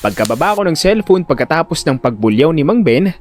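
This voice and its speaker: Man, dramatic first-person narration, conversational, very lively intonation, background music. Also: a little high, a little fast. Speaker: narrator and lead character of audio drama.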